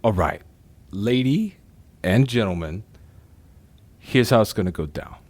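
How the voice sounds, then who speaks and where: Guy acting brash mob boss, lowish voice swinging all over, slangy n bossy, really dry close recording. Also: expressive, a little slow, darkish tone, no room sound. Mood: bossy, brisk. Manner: slangy street talk, swaggering and bossy. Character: brash, wisecracking male crime boss briefing a crew. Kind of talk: audio drama acting.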